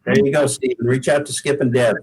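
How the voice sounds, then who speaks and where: A man from the Pacific Northwest, a singer-songwriter, chatting casually as an interview guest in a halting, repetitive way. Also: medium pitch, flat delivery, darkish tone, slightly rough, rough recording. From Pacific Northwest, United States.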